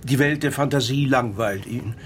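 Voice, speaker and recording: A male actor as an opinionated crime novelist in a radio play. He lectures pompously in a mid-range voice, with a faint rumble of noise behind him. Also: normal speed, lightly acted. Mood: lecturing, disdainful.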